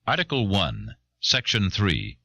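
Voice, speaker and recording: A man giving a solemn, deliberate formal reading of a legal text, recorded on an old audio cassette. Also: lowish voice, wildly swooping pitch, creaky, sharp tone, lo-fi sound. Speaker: reader.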